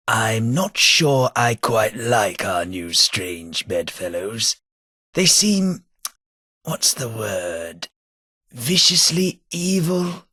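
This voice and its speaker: A man with a British accent voicing a sardonic, world-weary male ghoul companion in a video game, with a dry, sarcastic, upper-class wit and a husky voice. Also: on the low side, pushed and bright, dry recording, full character voice. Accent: British English.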